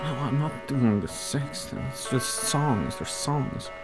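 An actor playing a prim, defensive marine researcher in a comedy audio drama. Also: male voice, mid-range voice, lively intonation, slow pace, loud music behind the voice. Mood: flustered. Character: prim, defensive male whale-song researcher.

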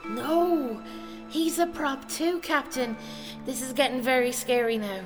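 An actress playing an anxious, principled naval lieutenant in a comedy audio drama. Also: female voice, high-pitched, measured pace, music in the background. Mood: uneasy.

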